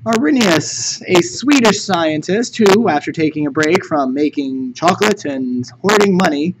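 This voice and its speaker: A man's voice: on the high side, expressive, unhurried. A man teaching chemistry in a recorded lecture, conversational and jokey. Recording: noticeable background noise.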